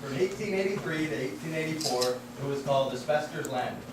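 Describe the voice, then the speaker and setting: Earnest teacherly puppet presenter for children male performer, mid-pitched, heard in a noisy, reverberant room. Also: fairly quick, close to an everyday voice. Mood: cheerful, teacherly. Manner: teacherly, addressing children with questions. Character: earnest male puppet host who loves town history. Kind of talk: scripted children's puppet show. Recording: very echoey, distant, noisy room.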